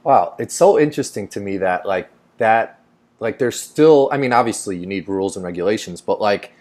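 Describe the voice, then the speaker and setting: Low-voiced rambling man chatting. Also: animated, moderate pace, a little mellow. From New York. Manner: rambling, heavy on "like" fillers. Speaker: host. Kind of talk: casual chat.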